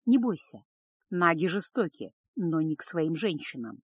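A woman voicing a world-weary, consoling harem woman in an audiobook, gentle and measured. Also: sits low, big pitch swings, slightly rough, dry recording, clean studio sound, heavily acted. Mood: reassuring, firm.

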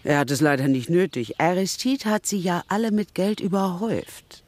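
An older actress playing a blunt, disapproving elderly English spinster aunt in a radio play, brusque and scornful. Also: female voice, on the low side, lively intonation, normal speed, darkish tone.

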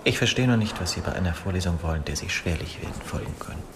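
An actor playing a pompous, indignant literature professor in a radio drama, clipped and condescending. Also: male voice, sits low, expressive, quick pace. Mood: indignant, haughty. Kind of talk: German radio drama acting. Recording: clear background noise.

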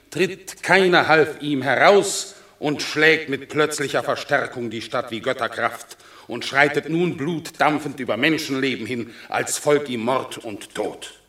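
An actor playing a stately Roman general in a classic radio play, declaiming solemnly with emphatic pauses. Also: male voice, medium pitch, sharp tone. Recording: echoey radio drama studio.

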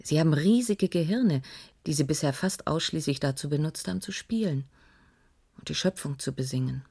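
An actress playing a grave woman in a German radio play, explaining in measured, deliberate dramatic phrases. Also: deep voice, vocal fry, dark tone, husky, full character voice. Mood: grave, wistful. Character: grave woman explaining a scientist's secret work.

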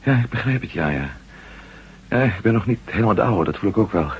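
A man's voice: on the low side, lively intonation, fast, slightly rough. A man acting a weary, troubled former astronaut in a radio drama, speaking hesitantly and broodingly. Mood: resigned. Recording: muffled, noisy old radio recording with hum.

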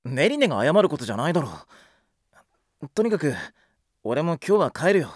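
Audio drama protagonist: kind, informal teenage schoolboy, blunt delivery with animated, swinging pitch. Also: male voice, brisk, dry recording, studio quality. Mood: uneasy, musing. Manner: blunt, informal boyish speech. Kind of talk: Japanese anime audio drama acting.

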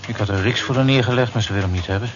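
An actor playing a kindly, polite male office worker in a radio play, with a measured manner. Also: lowish voice, lightly acted. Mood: good-natured. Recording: dull old radio play recording.

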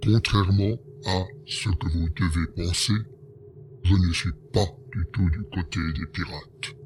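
Brief: man playing a worried, pleading bear-like alien counselor in an audio drama, low voice, slow delivery. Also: steady tone, noisy background. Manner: hesitant, pleading. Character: anxious male bear-like alien advisor.

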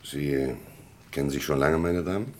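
A man acting a probing, deliberate police investigator in a German radio crime drama, with a gravelly, husky voice and pointed questions. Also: extremely low pitch, very noisy. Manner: questioning, hesitant pauses, then pointed.

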